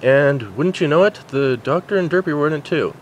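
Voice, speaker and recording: A man's voice: on the high side, lively intonation, a little fast, a little hiss or hum, low-quality recording. A man narrating a story as an amateur reader, stumbling over words now and then. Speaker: amateur fan fiction reader. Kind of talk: story narration, reading aloud.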